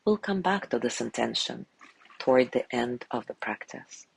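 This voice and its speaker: A man guiding a sleep meditation in a gentle, soothing way, softly spoken and unhurried, with long pauses between phrases. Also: mid-range voice, lively intonation, vocal fry, slightly rough, low-quality recording. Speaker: meditation guide.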